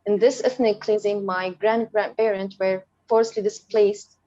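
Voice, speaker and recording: A woman's voice: mid-range voice, level tone, normal speed, mellow. Young woman on a dull online call. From Syria. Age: young adult. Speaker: guest speaker, refugee activist. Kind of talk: prepared webinar presentation.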